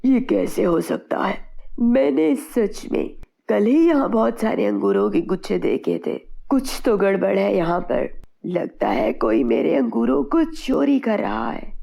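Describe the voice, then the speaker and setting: A woman's voice: medium pitch, sing-song, a little slow, gravelly, mellow, exaggerated voice. A woman voicing an honest, worried farm labourer in a children's moral story cartoon, earnest and plain-spoken. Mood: puzzled, worried.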